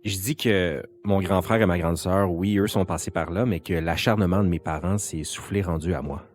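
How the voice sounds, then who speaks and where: Male narrator, Quebec French accent, low register, intimate scripted first-person storytelling, music bed. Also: moderate pace, darkish tone. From Quebec, Canada. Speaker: narrator and interviewer. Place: sound studio. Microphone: quality studio microphone. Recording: music behind the voice.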